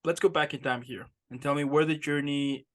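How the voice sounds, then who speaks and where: A man's voice: fairly high pitch, steady tone, brisk. A young man hosting a casual interview show, upbeat and effusive, piling on superlatives. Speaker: podcast host.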